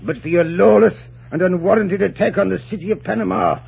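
An actor playing an imperious, scheming colonial governor, pompous and stammering, in 1940s radio drama style. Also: male voice, sits high, brisk, gravelly, a little mellow, breathy edge, full character voice. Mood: vindictive. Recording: muffled 1940s radio recording.

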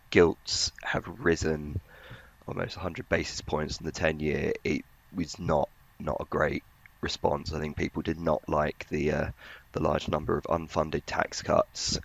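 A man with a very low voice and plenty of fry gives conversational commentary as a podcast panellist. His delivery stays flat, and the audio is slightly dull. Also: unhurried. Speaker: financial journalist, podcast panellist.

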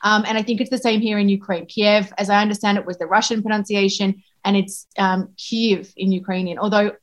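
A woman foreign correspondent answering interview questions in a thoughtful way, with frequent pauses and restarts. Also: mid-range voice, fairly even intonation.

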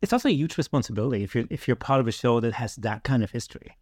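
A man's voice: mid-range voice, expressive, very fast, darkish tone, no room sound, clean studio sound. A man hosting a podcast, moving between presenter-style introduction and casual interview questions. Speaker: podcast host.

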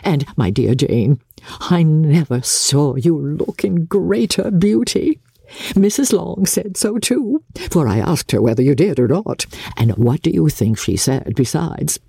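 A woman voicing an effusive, boastful middle-aged mother in a gushing, excitable manner for a dramatic storybook reading. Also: sits low, a little slow, vocal fry, slightly rough, dry recording, exaggerated voice. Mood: gushing delight.

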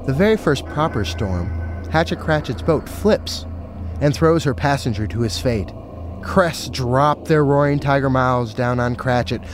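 A man's voice: mid-range voice, a little slow, noisy background. A man narrating a scripted story dramatically as a storyteller.

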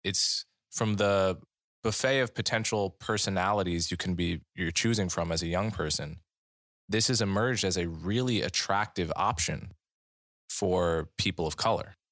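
A man hosting an interview, asking long, deliberate questions at a measured pace. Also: low voice, fairly bright tone, dry recording, studio quality. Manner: measured, deliberate, reads aloud slowly. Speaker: podcast host.

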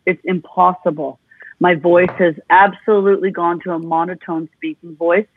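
A woman's voice: on the low side, steady tone, moderate pace, a little hiss or hum. A woman vocal coach as an interview guest, explaining expressively and demonstrating voices, talking with her hands. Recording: tinny phone-like dry sound.

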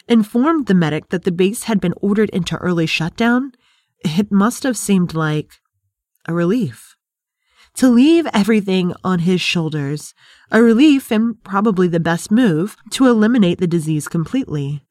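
A woman acts as an investigative podcast reporter in an audio drama. She narrates gravely at a middle pitch, with measured, dramatic pauses, in a very dry, close recording. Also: a little mellow, no room sound, natural voice. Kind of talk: scripted narration.

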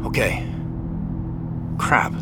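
A man's voice: extremely slow, music in the background. An actor playing a wry, evasive, preoccupied businessman in a naturalistic audio drama. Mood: wry, worried.

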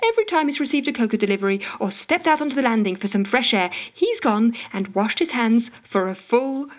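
A woman's voice: on the high side, big pitch swings, brisk. An actress playing an exasperated, frantic office worker, telling her woes melodramatically in an audio drama voicemail monologue. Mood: indignant, fed up. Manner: melodramatic, indignant storytelling with sarcastic quoting. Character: exasperated, frantic office woman ranting to a colleague.

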